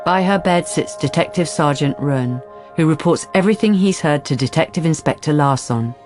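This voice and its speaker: A woman's voice: deep voice, expressive, moderate pace, a little mellow, faint music behind. A woman reading scripted present-tense narration for a radio drama.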